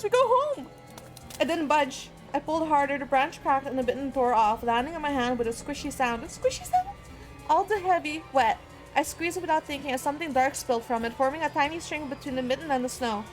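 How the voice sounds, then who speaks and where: A woman's voice: high-pitched, expressive, brisk, poor audio. A woman game streamer reading a horror visual novel aloud, dramatically, with spontaneous asides. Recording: music behind the voice. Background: game music.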